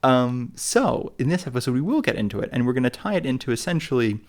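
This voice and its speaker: A man's voice: mid-range voice, big pitch swings, fast talker. A man co-hosting a travel podcast in casual chat, rambling and joking at his own expense. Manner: rambling, self-mocking, full of fillers and restarts. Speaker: podcast co-host.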